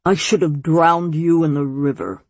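A woman's voice: deep voice, steady tone, measured pace, a little mellow, no echo. A woman voicing an imperious, bitter old male elder in an audiobook. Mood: bitter, scornful. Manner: imperious, huffing. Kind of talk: audiobook character voice.

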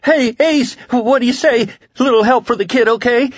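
A frantic, high-pitched begging man. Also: normal speed, dry recording, lo-fi sound. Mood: frantic pleading. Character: desperate young male drug user, pleading and screaming.

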